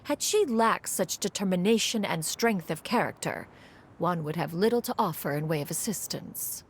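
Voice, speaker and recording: A woman's voice: lowish voice, expressive, moderate pace, a little hiss or hum. A woman voicing an ancient, aloof female adeptus who speaks in a formal, archaic way. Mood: composed. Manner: archaic formal speech, calls herself "one". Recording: faint music underneath.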